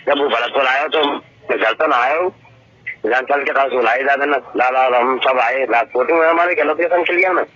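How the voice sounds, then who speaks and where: A man's voice: mid-range voice, normal speed, bright, forceful tone. A man with an eastern Rajasthan Bharatpur accent chatting casually and boastfully, rambling and repeating himself on a recorded phone call. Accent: eastern Rajasthan (Bharatpur) regional Hindi.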